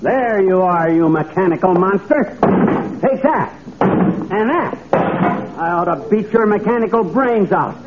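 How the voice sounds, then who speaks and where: An actor playing an excitable, blustering, folksy middle-aged man given to colorful grumbling, in old radio comedy style. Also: sits high, unhurried. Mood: indignant grumbling. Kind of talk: 1940s-50s radio comedy acting. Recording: tinny, noisy old radio recording.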